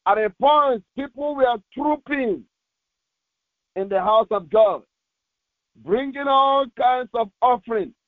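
A male pastor reading scripture and preaching in short, deliberate, emphatic phrases, heard through tinny, narrow audio. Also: very high voice, dry recording.

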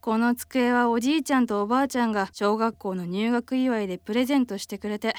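A woman's voice: a little high, fast, clear, resonant tone, dry recording, lightly acted. A woman playing an easygoing, dreamy young aspiring cartoonist who sighs and muses to herself in a radio drama. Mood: nostalgic. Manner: sighing, musing to herself.

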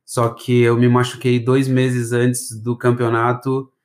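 A man's voice: medium pitch, completely flat delivery, unhurried, darkish tone. A man from southern Brazil with a mild Brazilian southern accent, chatting casually as a podcast guest in a rambling, slangy way. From Florianópolis, southern Brazil. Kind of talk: casual chat.

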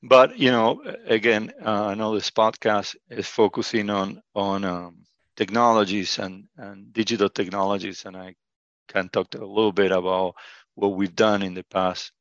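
A man's voice: fairly low pitch, flat delivery, normal speed, a bit bright, dry recording, muffled recording. A man, a college dean answering interview questions with many fillers and restarts. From Argentina.

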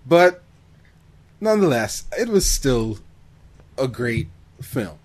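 A man chatting casually as a podcast co-host, expressive and given to acting out reactions. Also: mid-range voice, slow pace. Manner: expressive, acts out reactions and quotes. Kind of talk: casual film discussion.

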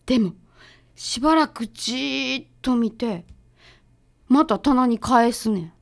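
A woman's voice: fairly high pitch, takes it slowly, gravelly. A woman playing a breezy, confident detective's assistant with a Kansai accent in a chatty radio-drama style. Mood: concerned. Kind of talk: radio drama acting, conversational.

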